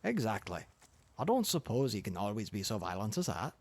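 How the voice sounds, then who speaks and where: A man's voice: lowish voice, sing-song, fairly quick, vocal fry, a little mellow, exaggerated voice. Man voicing a kindly old Scottish news editor. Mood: wry.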